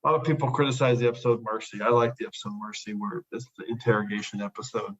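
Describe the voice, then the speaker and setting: A man's voice: lowish voice, fairly even intonation, very fast, breathy edge, highs cut off. A man hosting a podcast in casual discussion, talking enthusiastically and repeating his words of praise. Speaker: podcast host.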